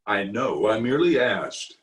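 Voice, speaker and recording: A man's voice: lowish voice, big pitch swings. Man playing a pompous, blustering uncle, swooping delivery. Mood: grumbling. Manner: pompous, indignant, drawn-out delivery. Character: pompous, blustering middle-aged uncle, a small-town official. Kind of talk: old-time radio comedy acting. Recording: remote home recording over video call.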